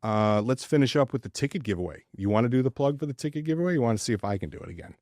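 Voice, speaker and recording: A man with a mid-pitched voice chats as a sports podcast co-host. His delivery is informal and joking, with words often repeated as he gets going, in a dry, close recording. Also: animated, rapid-fire, a little mellow, dry recording. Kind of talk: casual sports podcast chat.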